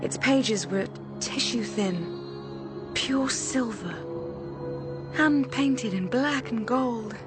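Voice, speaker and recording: An actress playing a hardened renegade space explorer in a radio drama, idealistic yet ruthless, speaking gravely and deliberately. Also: female voice, a little high. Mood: earnest, reverent. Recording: music behind the voice.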